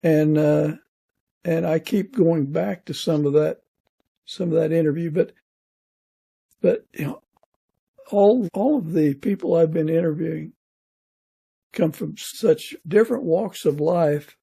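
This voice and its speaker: Husky, creaky higher-voiced male podcast host. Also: unhurried, long pauses, warm tone. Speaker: podcast interviewer. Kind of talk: casual interview chat.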